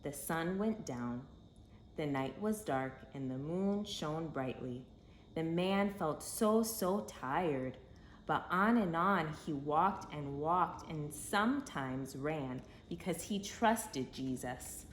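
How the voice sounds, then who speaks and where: A woman reading a children's story aloud in an expressive, dramatic way, with emphatic phrasing for young listeners. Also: sits low, slow. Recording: echoey room with faint background noise.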